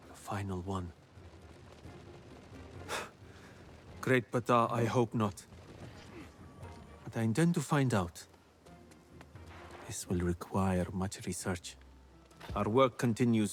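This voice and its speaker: A man voicing a learned, wary sage in a video game, with a measured, earnest, scholarly manner. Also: lowish voice, lightly acted. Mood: grave, determined. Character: learned, wary male Egyptian sage researcher. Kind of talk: video game cutscene dialogue.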